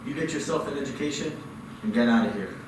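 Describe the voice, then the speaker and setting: An actor playing a calm, kindly middle-aged father who runs a small loan office. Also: medium pitch, quick pace, close to an everyday voice. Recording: echoey room, far from mic, noisy.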